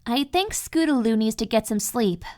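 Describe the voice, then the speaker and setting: A woman voicing a calm, caring cartoon pony mentor in an audio story, reassuring and explanatory. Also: a little high, normal speed, natural voice. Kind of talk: dramatic fan fiction audio reading.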